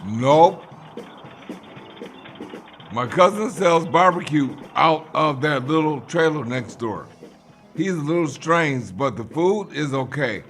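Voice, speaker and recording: Slow-drawling old gas station man acted out. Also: fairly high pitch, lively intonation, a bit bright, faint music behind, heavily acted. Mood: laconic, flat. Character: odd, slow-talking old Southern gas station attendant. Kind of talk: radio play acting.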